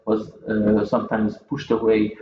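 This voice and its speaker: A man talking casually at a table, halting and restarting his sentences often. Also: on the low side, completely flat delivery. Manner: halting, many restarts and repeated words. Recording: echoey, dull room sound with faint noise.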